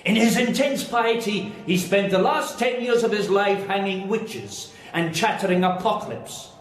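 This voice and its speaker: A man's voice: high-pitched, lively intonation, a little slow. A man playing a grim, ghostly seventeenth-century minister in an audio drama, formal, solemn and declamatory. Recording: echoing space, faint noise.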